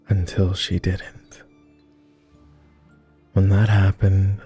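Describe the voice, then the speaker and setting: A man playing a weary, courteous immortal vampire in intimate ASMR roleplay, speaking softly in formal, hesitant phrases. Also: low voice, vocal fry, exaggerated voice. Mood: wistful. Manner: formal, measured, hesitant with pauses. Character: weary, reserved, self-loathing male vampire, immortal, courteous.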